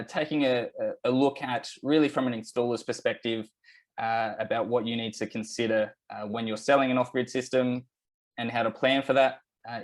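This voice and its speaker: A man with an Australian accent presenting a technical webinar over a video call, relaxed and full of fillers and restarts. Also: mid-range voice.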